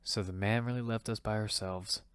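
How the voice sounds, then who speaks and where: A man's voice: lowish voice, steady tone, moderate pace, a bit bright, smooth, no echo, studio quality, close to an everyday voice. A man playing a hot-tempered father who narrates his own battle-royale survival story in an amateur audio drama. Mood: cautious. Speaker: narrator and lead actor. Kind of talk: first-person narration and dialogue in amateur audio fiction. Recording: background music under the voice.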